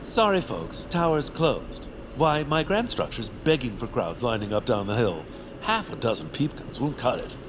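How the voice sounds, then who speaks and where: A man's voice: medium pitch, very animated, normal speed, noisy background, natural voice. A man voicing a vain, self-important baron creature with grandiose, theatrical delivery in a cartoon audio drama. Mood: smug, dismissive.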